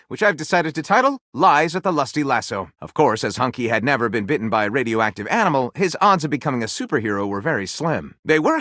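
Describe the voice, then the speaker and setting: A man's voice: medium pitch, fairly bright tone, dry recording, clean studio sound. A man voicing a theatrical, wry storyteller and snide critic in a video game, mock-grandiose and sardonic, as if narrating a storybook. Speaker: voice actor.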